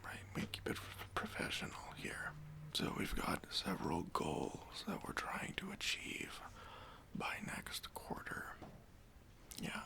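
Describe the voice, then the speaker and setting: A man playing a stingy, demanding boss in an ASMR roleplay, speaking in a breathy, hesitant whisper with condescending politeness, close to the microphone. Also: low voice, huge pitch swings, takes it slowly, full character voice. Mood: smug, officious. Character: stingy, demanding male boss giving a job interview.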